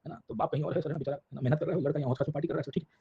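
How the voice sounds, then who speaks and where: Male Indian-accented history teacher, fairly high-pitched, informal exam-prep lecturing with repeated check-ins, slightly muffled lo-fi recording. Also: fairly even intonation, very fast, vocal fry, mellow, slightly rough, dry recording. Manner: repetitive check-in tags, addressing listeners as sir; colloquial, illustrating points with little acted anecdotes.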